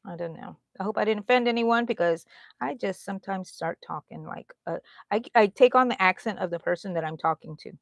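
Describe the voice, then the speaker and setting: Woman host, middle-aged, from Texas; rambling live-stream chat, animated, mid-pitched, drops to whispers. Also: fairly quick, vocal fry, no room sound. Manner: chatty and animated, swinging from loud to soft whispers. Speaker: crochet channel host.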